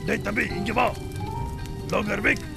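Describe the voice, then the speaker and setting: A man voicing a bossy, easily alarmed older-brother bear in an animated cartoon dub, talking in urgent exclamations and instructions. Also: sits high, a little slow, creaky, heavily acted. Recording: music behind the voice.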